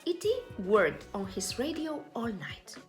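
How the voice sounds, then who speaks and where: Slow, very animated woman reading a storybook. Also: mid-range voice, soft background music. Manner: deliberate, dramatic phrasing for children. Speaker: storybook reader. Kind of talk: expressive read-aloud children's story narration.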